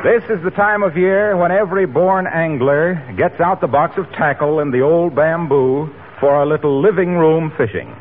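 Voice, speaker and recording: Vintage American male announcer, polished pitchman, lively highish voice on a tinny radio recording. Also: moderate pace, few pauses, a little hiss or hum. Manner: polished, salesmanlike. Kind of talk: 1940s radio announcing, scripted.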